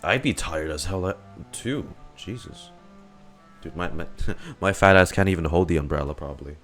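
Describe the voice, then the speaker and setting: A man giving casual, halting, sweary reaction commentary on a game, musing aloud with music behind. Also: low-pitched. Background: soft game music.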